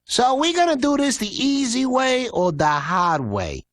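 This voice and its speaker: A man's voice: very high-pitched, very animated, normal speed, fairly bright tone, exaggerated voice. A man voicing a tough, dim-witted henchman in a cartoon game, menacing and blustering. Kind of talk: animated game character acting.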